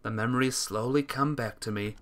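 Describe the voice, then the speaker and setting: A man's voice: mid-range voice, brisk. A man streaming a visual novel, reading the text aloud dramatically and chatting casually with viewers.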